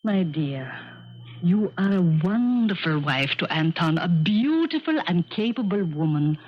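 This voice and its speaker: Casting: stern, tender confidante, low voice, deliberate and commanding, old radio-play acting. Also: female voice, big pitch swings. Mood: firm, tender. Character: stern yet tender woman, Holocaust survivor, haunted confidante. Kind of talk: 1970s radio drama acting. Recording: dull, noisy 1970s radio recording.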